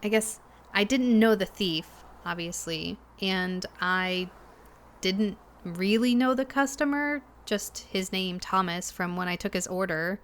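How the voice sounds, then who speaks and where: An actress plays a shaken young woman in an audio drama. She speaks slowly and haltingly, sighing and hesitating as she recounts her troubles in a natural, conversational way. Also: medium pitch. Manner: halting, hesitant, with sighs and pauses.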